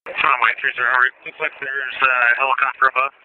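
Cop guy on police scanner, really sharp edgy voice, short clipped updates, slight radio noise. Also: mid-range voice, little pitch movement, moderate pace, rough recording. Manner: terse, clipped reports. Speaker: state patrol officer. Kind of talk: police radio reports during a pursuit.

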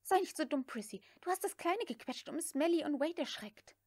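A woman's voice: high-pitched, animated, fairly quick, a little mellow, no echo, clean studio sound, voice pushed up. A woman voicing an exhausted, harsh young mistress in an audiobook, giving curt commands and scolding. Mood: angry. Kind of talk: audiobook character acting.

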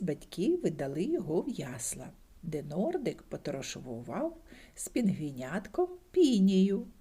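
An older woman reading a bedtime fairy tale aloud expressively, jumping to high voices for characters and dropping to soft whispers. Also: husky.

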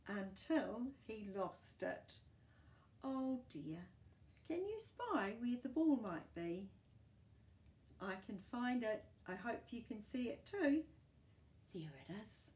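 A woman's voice: on the high side, big pitch swings, slow pace, pauses often, darkish tone. A woman in her sixties with a New Zealand accent reads a picture book aloud to young children, expressively, with chatty asides and questions. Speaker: children's storytime host. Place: small book-filled room indoors.

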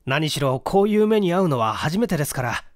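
A man's voice: a little high, big pitch swings, a little fast, dry recording, studio quality. A man voicing a polite, composed male detective in a mystery story reading. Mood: courteous. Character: composed, courteous male detective narrating his case.

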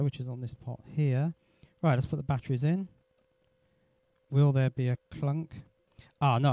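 A man's voice: medium pitch, mellow. Man, casual electronics demonstrator. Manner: thinking aloud while working with hands. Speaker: electronics hobbyist presenter. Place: indoor workshop.

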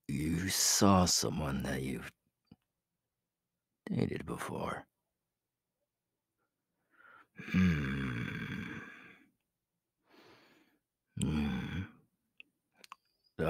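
A cocky, cruel young werewolf king, acted by a man for an ASMR roleplay. His voice is very low and heavily breathy, often falling into a husky whisper. He takes his time, teasing and possessive, with menace under it. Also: lively intonation, dry recording, studio quality, heavily acted. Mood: probing, then jealous, menacing. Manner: slow, teasing, menacing drawl.

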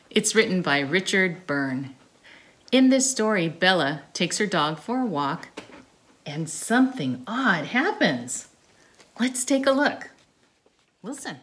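A middle-aged woman doing a children's read-aloud in an animated, coaxing sing-song, asking questions as she goes, recorded in a living room. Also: fairly high pitch. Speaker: therapy dog owner reading a storybook aloud. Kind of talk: children's read-aloud, talking to a dog and young viewers. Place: living room by a stone fireplace, indoors. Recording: clearly noisy home recording.

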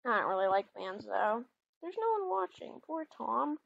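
A woman's voice: on the high side, sing-song, moderate pace, creaky, husky, dry recording, dull audio. A woman chatting casually as she role-plays through a video game as the host. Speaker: gaming video host, mother playing with her daughter. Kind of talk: casual role-play chat over gameplay.

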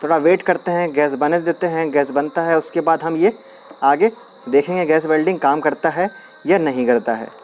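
A man's voice: fairly high pitch, normal speed, a little mellow, a little hiss or hum. A man chatting casually as he shows a hands-on experiment step by step, recorded outdoors on a phone held over his hands. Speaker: DIY experiment vlogger. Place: outdoors on a concrete floor, at dusk.

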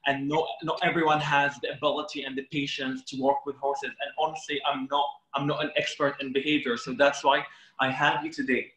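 A young man hosting a video call interview, agreeable and quick with short affirmations. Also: fairly high pitch, flat delivery, sharp tone. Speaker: host. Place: room indoors. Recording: roomy video call audio.

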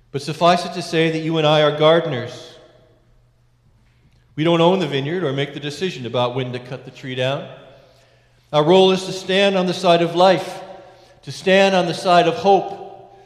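A middle-aged man, a priest, preaching a sermon from a lectern in a large church, measured with emphatic rises and hushed endings. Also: on the high side, fairly even intonation. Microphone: lectern mic, far camera. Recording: echoey church with long reverb.

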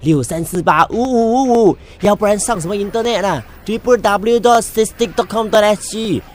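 A man's voice: high voice, normal speed, lightly acted. A man playing a boastful, doting night-market hawker father in a comedic radio drama, with a Singaporean accent, exclaiming and mixing in English. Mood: boastful, excited. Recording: radio drama with music bed.

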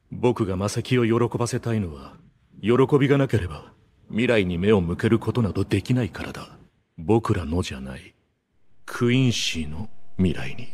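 Husky, stern young nobleman. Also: fairly low pitch, a little mellow. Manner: restrained, formal, serious. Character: stern, reserved young heir of a noble family. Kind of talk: anime voice acting.

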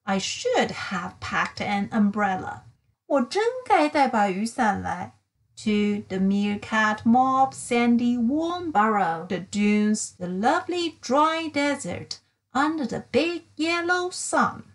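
A woman's voice: a little high, expressive, slow. A woman voicing a homesick young male meerkat writing home in a children's storybook, plaintive and earnest. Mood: rueful. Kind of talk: children's storybook read-aloud.

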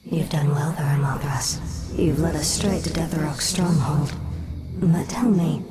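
Cold vengeful undead elf queen, low-ish and breathy, game cutscene. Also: heavy background noise. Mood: cold, calculating. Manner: haughty, deliberate. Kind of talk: dramatic game cutscene acting. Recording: loud music behind.

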